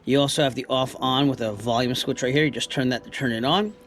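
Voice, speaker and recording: A man's voice: medium pitch, fairly even intonation, quick pace, a bit bright. A man giving a casual, plain-spoken product review, explaining things step by step as he talks.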